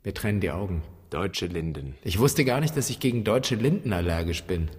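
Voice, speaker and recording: Long reverb, brooding bereaved son, husky, terse intense fragments, poetic radio drama. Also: male voice, sits low, expressive, fairly quick, darkish tone. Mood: tense, bitter. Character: brooding son alone in his dead father's flat. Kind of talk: poetic radio play monologue.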